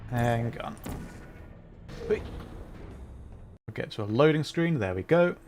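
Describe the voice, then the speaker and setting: A man streams a video game and talks along as he plays. His voice sits at a middling pitch as he chats casually with his viewers. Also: expressive, takes it slowly, a little mellow, slight background noise. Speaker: livestreamer playing a video game. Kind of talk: casual live game commentary and chat with viewers.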